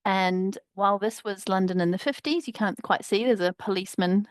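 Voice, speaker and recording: A woman's voice: mid-range voice, fairly quick. A woman from New Zealand presents on a webinar with a friendly, fluent delivery. She speaks into a computer mic on a video call, and the sound is dry and close, with no room echo. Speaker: sustainability consultant presenter.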